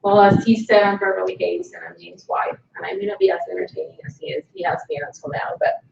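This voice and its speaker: A woman co-presenter opening her part of a conference talk in a quick, modest way. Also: on the low side, vocal fry, a little mellow, a little hiss or hum. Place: conference room. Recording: distant, dull, roomy conference recording.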